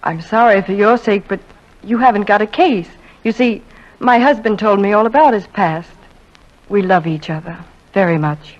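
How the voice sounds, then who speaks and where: A woman's voice: mid-range voice, normal speed, natural voice. A proud small-town wife, played by a woman, speaks coolly and with dignity, holding her hurt in. Her voice sits in an ordinary middle range. The sound is dull and lo-fi, like an old radio broadcast. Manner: composed, cool and dignified. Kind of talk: 1940s radio acting.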